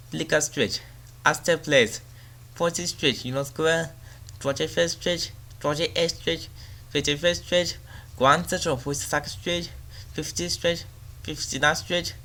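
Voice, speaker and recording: A man delivers a transit service announcement as a train announcer, reading out information and stops. His voice sits a little high and has a clearly bright, forward edge. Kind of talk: subway service announcement, reading station lists.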